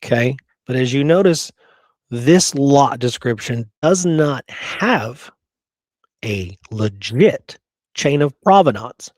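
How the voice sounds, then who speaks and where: A man's voice: medium pitch, animated, slow pace, darkish tone, dry recording. Dry, close-sounding man hosting history talk. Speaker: history show host. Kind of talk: casual research discussion.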